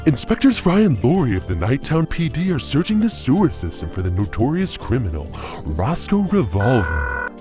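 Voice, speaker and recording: A man's voice: medium pitch, huge pitch swings, moderate pace. An actor playing a smarmy, theatrical radio DJ in an audio drama, with an unctuous showman's drawl. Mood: smooth, mock surprise. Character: smarmy, theatrical male radio DJ, syrupy and sly. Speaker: radio host. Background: loud music.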